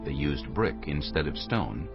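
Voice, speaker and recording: A man narrating scripture from a script in a solemn, deliberate, measured way. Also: a bass voice, lively intonation. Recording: dull audio with loud music behind.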